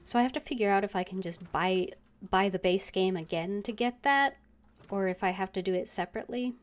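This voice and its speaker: A woman's voice: mid-range voice, fairly quick. A young woman streaming a game, chatting casually and hesitantly as she plays. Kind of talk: casual gameplay commentary.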